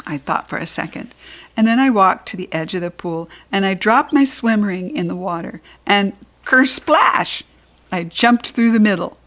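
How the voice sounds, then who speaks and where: A woman's voice: medium pitch, animated, normal speed, a bit bright. A woman with an American accent narrating a children's book expressively as a storybook reader.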